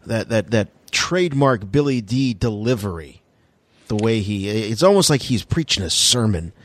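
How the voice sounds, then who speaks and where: A man's voice: lowish voice, animated, normal speed, dry recording. A man co-hosting a podcast, chatting playfully and cracking jokes. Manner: playful, jokey. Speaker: podcast co-host. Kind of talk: casual chat.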